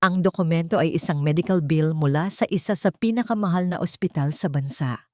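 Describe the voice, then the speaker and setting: Low-pitched woman narrating a dramatic story. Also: brisk, warm tone, no echo, clean studio sound.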